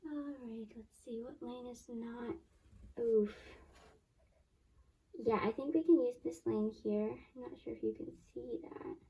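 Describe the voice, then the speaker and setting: 12-year-old girl, squeaky high voice, chatty step-by-step lab demo, set back from the mic. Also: measured pace, dark tone. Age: 12 years old. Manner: chatty, self-correcting, talks through each step. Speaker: young science channel host. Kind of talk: casual hands-on lab demo. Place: small home lab. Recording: voice from a distance off the mic.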